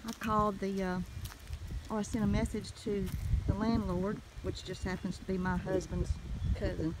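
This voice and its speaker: Southern lady telling a story on her phone outside, folksy n animated, some noise behind her. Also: mid-range voice, normal speed. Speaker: homestead vlogger. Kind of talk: casual storytelling to camera. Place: outdoors in a wooded rural yard. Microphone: handheld phone. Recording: noisy outdoor phone recording.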